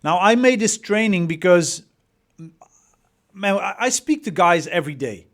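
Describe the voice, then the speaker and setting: A man's voice: sits high, expressive, a little slow, fairly bright tone. A man in his forties from the Netherlands with a Dutch accent, giving an emphatic motivational coaching talk with rhetorical questions and repeated words. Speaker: dating coach.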